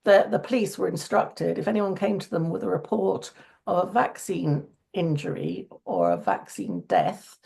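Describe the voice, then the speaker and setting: A woman speaking as an interview guest in earnest conversation, her voice croaky today and often restarting phrases. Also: lowish voice, expressive, normal speed, a little mellow. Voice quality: gravelly.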